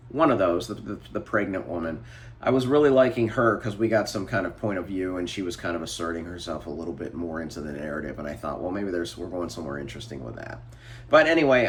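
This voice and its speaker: A man giving casual, rambling reading updates for his book vlog while congested from a cold. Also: lowish voice. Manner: rambling, thinking aloud. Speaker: book vlogger.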